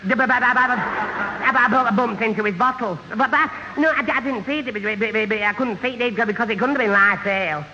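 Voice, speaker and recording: A man's voice: extremely high pitch, moderate pace. An actor playing a dim, nervous, bumbling young man who stammers and muddles his words in a radio sitcom. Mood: flustered. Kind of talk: 1970s radio sitcom acting. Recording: muffled old radio, hiss, hall reverb.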